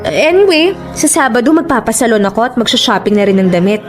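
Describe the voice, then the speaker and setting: Woman acting as chatty teasing young coworker, really high swoopy voice, breezy radio drama style. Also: lively intonation, fast talker, natural voice. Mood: playful, teasing. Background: faint music.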